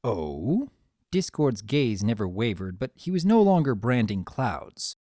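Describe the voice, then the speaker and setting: A woman's voice: extremely low pitch, big pitch swings, moderate pace, mellow, dry recording, clean studio sound. A woman giving a dramatic scripted narration as a storyteller.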